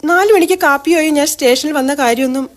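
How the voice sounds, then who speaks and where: A woman's voice: high voice, fast talker, close to an everyday voice. An actress playing a young wife sulking and scolding her husband in a radio drama. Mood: sulky. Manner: sulky, scolding.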